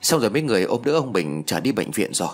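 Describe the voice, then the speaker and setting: Male narrator as younger village man, earnest, worried, plain-spoken, hurried explaining, lively intonation, faint music, audio story. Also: fairly low pitch. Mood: concerned, explaining.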